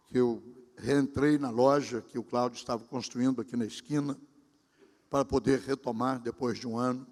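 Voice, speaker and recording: A man's voice: medium pitch, vocal fry, darkish tone. A pastor in his sixties giving a sermon, talking conversationally and speaking directly to his congregation. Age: 60s. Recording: long hall reverb.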